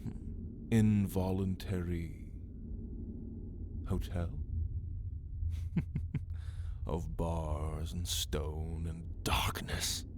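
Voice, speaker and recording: Ancient vampire, male actor, arrogant and amused. Deep, extremely unhurried, taunting ASMR delivery over clear background noise. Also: little pitch movement. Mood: musing, taunting. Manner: slow, theatrical, taunting, with whispered drawn-out words. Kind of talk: ASMR roleplay, addressing the listener.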